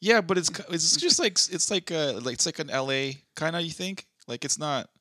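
Man, slight East LA accent, bright tone, dry close sound, rambling casual chat. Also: fairly high pitch, expressive, moderate pace, no echo. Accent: East Los Angeles. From Southern California. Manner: loose, rambling, filler-heavy, profane. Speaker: podcast co-host.

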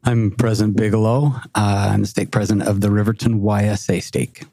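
Thoughtful middle-aged stake president. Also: male voice, fairly low pitch, level tone, fairly quick, warm tone, dry recording. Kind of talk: casual discussion.

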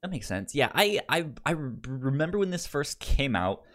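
A man co-hosting a casual podcast chat, talking informally and haltingly, with a stutter that repeats sounds and syllables. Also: a little high, very animated, fairly bright tone. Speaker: podcast co-host.

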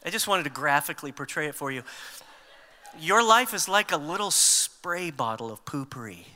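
A man in his fifties preaching a sermon as a pastor, conversational and animated, mixing scripture with humor. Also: on the high side, normal speed, in a large hall.

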